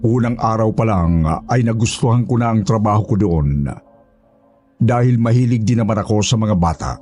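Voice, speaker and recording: A man's voice: on the low side, normal speed, warm tone, faint music behind, close to an everyday voice. A man playing a former orphanage guard who recounts eerie memories as a first-person horror story narrator. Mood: reminiscing.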